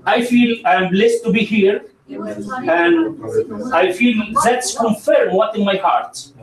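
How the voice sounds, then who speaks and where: A man's voice: high-pitched, sing-song. Evangelist man in an echoey hall. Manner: halting, many fillers and restarts. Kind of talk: conference testimony. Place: large conference hall. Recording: roomy hall with crowd, distant mic.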